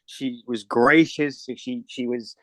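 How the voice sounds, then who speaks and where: A man's voice: medium pitch, steady tone, takes it slowly, fairly bright tone, no room sound. A man in his thirties, co-hosting a casual podcast chat, talking candidly and swearing now and then. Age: 30s. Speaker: podcast co-host.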